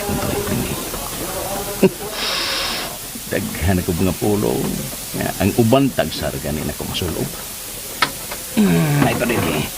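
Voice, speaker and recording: An actor playing a leering, flustered older Filipino uncle in a radio drama, stammering, hemming and exclaiming. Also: male voice, deep voice, gravelly, heavy background noise, full character voice. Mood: sly, musing.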